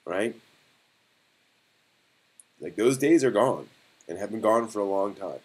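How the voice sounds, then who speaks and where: A man hosting a casual live stream discussion, thinking aloud in a halting way and posing rhetorical questions. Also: fairly low pitch, lively intonation, a little mellow, slightly rough.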